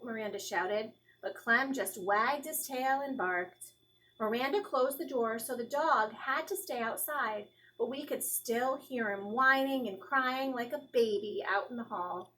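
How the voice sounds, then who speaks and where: A woman in her thirties reads a children's storybook aloud in a fairly high voice. She tells it expressively, as a teacher would, and puts feeling into the characters' lines. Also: low-quality recording. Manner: animated, acting out the dialogue. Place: small classroom, indoors. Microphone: phone or tablet camera at about arm's length.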